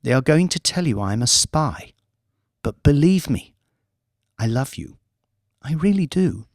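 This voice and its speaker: Man putting on an earnest young woman, raised pitch. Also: big pitch swings, measured pace, no room sound, clean studio sound. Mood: earnest, pleading. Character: earnest young woman, secretive lover and former spy.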